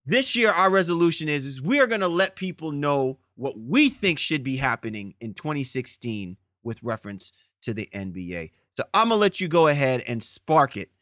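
A man's voice: a little high, very animated, moderate pace, hardly any pauses, fairly bright tone, no echo, clean studio sound. A man co-hosting a sports podcast, giving emphatic, long-winded opinions in a discussion. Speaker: podcast co-host.

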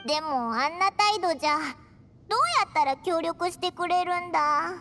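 A woman voicing a small childlike fairy companion in an anime game, squeaky and blunt with boyish phrasing. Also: moderate pace, fairly bright tone, faint music behind. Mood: curious, doubtful. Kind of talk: Japanese anime game voice acting.

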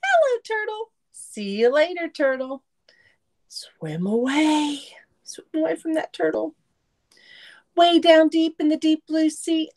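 A middle-aged woman reads a storybook for young children. Her voice sits high and she takes her time, with an expressive, sing-song delivery. It sounds like a home recording on a computer. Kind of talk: children's picture book read-aloud with asides to young listeners. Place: small room at home. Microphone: computer mic on a video call setup.

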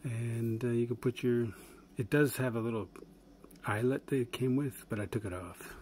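A man's voice: medium pitch, moderate pace. Easygoing man demoing a knife. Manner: relaxed and rambling, with chuckles and filler words. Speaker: channel host reviewing a pocket knife. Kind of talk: casual product demonstration. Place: indoors at a wooden table. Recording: steady background noise with handling clicks.

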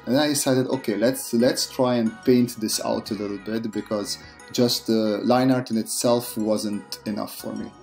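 Brief: male artist in his thirties, English, mellow gravelly voice, easygoing voice-over with soft music behind. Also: on the low side, moderate pace. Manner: relaxed, self-deprecating, many fillers and restarts. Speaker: artist and channel host. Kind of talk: casual voice-over commentary on a drawing process.

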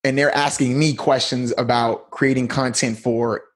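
A man's voice: medium pitch, steady tone, normal speed. A man in his thirties, a podcast guest, telling his story in a laid-back, slangy way. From United States. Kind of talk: casual interview talk.

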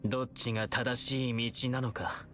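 An actor playing a taunting, playful and menacing young male villain in a video game, with a theatrical, teasing, drawn-out delivery. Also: medium pitch, flat delivery, music in the background, close to an everyday voice. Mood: mocking, playful.

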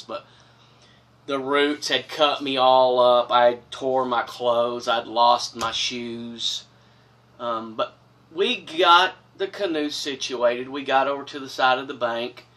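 A middle-aged man recounts a story with earnest, dramatic emphasis. His voice is bright and forceful, and it sits slightly away from the mic in a small wood-paneled room. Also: mid-range voice, far from the mic. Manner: earnest, dramatic, expressive with big gestures. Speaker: storyteller host. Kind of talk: personal storytelling to camera. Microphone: camera from a few feet away.

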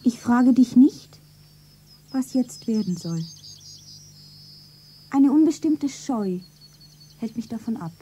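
Eine Schauspielerin spielt in einem Hörspiel eine junge, naive Verkäuferin, zärtlich und sentimental verliebt, mit weicher Stimme. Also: female voice, a little high, lively intonation, slow, long pauses, mellow, husky, exaggerated voice. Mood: wistful. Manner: naive, sentimental, self-narrating. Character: slender young shopgirl, tender, wistfully devoted lover. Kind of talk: German radio play acting.

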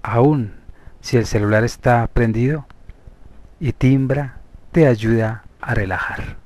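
A man with a Colombian Spanish accent leading a guided hypnosis meditation as a therapist, speaking deliberately in short, separated phrases. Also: medium pitch, a little mellow, no echo. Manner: slow, deliberate, pausing between short phrases.

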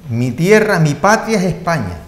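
Emphatic high-voiced man. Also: normal speed, roomy sound. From Canary Islands. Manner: emphatic, animated. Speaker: debate panelist. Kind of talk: heated political debate.

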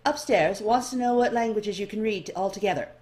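A woman voicing a chatty, flustered male elf librarian in a fantasy story, hurried and slightly impatient. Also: mid-range voice, darkish tone, voice pushed up, close to an everyday voice. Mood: brisk, impatient.

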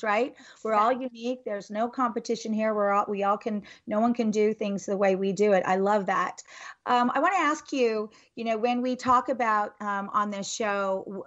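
Woman, podcast host, enthusiastic, rambling, filler-heavy, middle pitch, muffled sound. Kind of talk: interview host.

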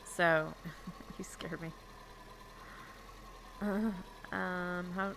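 A woman's voice: on the low side, steady tone, very slow, gravelly, noisy background. A young woman streaming a farming game over a headset, chatting casually and giggling as she thinks aloud. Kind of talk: casual gameplay chat. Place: home room indoors.

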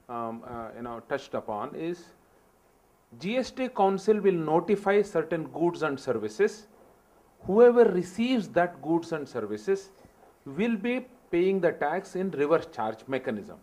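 A man's voice: fairly high pitch, big pitch swings, unhurried, a little mellow. A middle-aged man on a seminar panel, explaining step by step into a handheld mic. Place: seminar hall stage.

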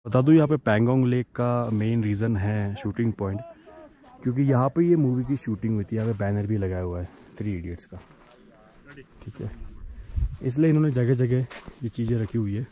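A man making a casual travel vlog outdoors, excitedly pointing out the road, trucks and lake to his viewers while riding and filming. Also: mid-range voice, fairly quick, slight background noise, rough recording. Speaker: travel vlogger. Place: outdoors on mountain roads and lakeside. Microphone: handheld camera, often riding a bike.

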